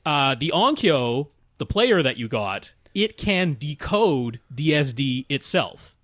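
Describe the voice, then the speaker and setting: A man's voice: fairly high pitch, very animated, takes it slowly, fairly bright tone, no echo. Man explaining tech in detail, dry recording. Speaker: podcast co-host. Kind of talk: casual technical explanation.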